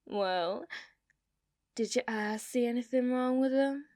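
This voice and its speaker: Woman doing a dominant outlaw cowgirl, put-on Southern drawl, slow delivery, soft whispers, ASMR roleplay. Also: on the high side, no room sound, clean studio sound. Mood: teasing. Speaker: ASMR performer.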